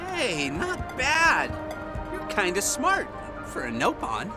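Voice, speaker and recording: A man's voice: high voice, wildly swooping pitch, takes it slowly, heavily acted. A man playing a timid, self-deprecating, lovesick young soldier in a video game scene. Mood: hopeful. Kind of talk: video game cutscene acting. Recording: music behind the voice.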